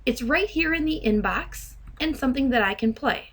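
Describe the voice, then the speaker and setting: A woman software trainer narrating a step-by-step demo in a measured, instructional way. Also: high voice, lively intonation.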